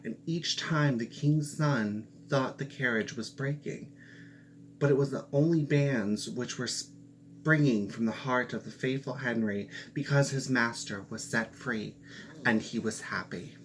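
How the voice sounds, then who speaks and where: A man reads a fairy tale aloud at a slow, unhurried pace, sometimes stopping to restart a word. His voice sits at an ordinary middle pitch. A faint hum lingers in the background. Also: rough recording. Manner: slow, careful reading with small restarts. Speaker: storyteller.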